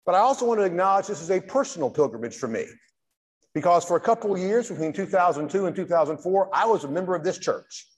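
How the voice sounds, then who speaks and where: A man in his sixties with a notably high voice speaks from a lectern in a large, reverberant church hall. He lectures like a pastor, phrasing deliberately and leaning on key words with pauses. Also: expressive. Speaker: Baptist pastor, guest lecturer. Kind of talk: church lecture with preacherly delivery.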